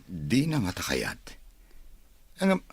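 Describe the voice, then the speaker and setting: A man's voice: deep voice, huge pitch swings, takes it slowly, husky, no room sound, full character voice. A man playing a headstrong, lovestruck son of a wealthy family in a radio drama. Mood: defiant.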